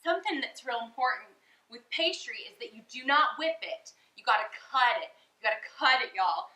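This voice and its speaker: A woman's voice: fairly high pitch, expressive, normal speed, sharp tone. A woman playing an earnest, sweetly solemn home baker, mourning her dog in a comedic monologue. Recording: roomy indoor echo.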